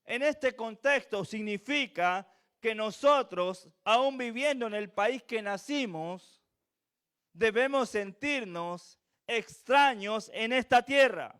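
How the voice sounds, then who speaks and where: A man's voice: very high voice, slow pace, a bit bright, clear, resonant tone. A man from Venezuela with a Venezuelan accent touched by Chilean, preaching a sermon in a church with earnest repetition and rhetorical questions. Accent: Venezuelan with a slight Chilean tinge.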